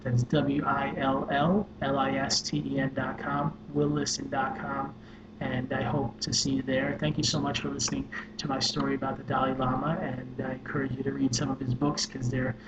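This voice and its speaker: A soft-spoken man, a social worker and life coach, earnestly telling a personal story with many fillers. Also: medium pitch, monotone, moderate pace. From New York. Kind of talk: personal story told to camera. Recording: echoey room with dull sound and noise.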